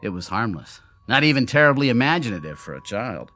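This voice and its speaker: An actor playing a drunken, world-weary genius inventor who mocks himself bitterly, in radio drama style. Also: male voice, medium pitch, big pitch swings, brisk, sharp tone, faint music behind. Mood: confessional. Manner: wry, theatrical, world-weary.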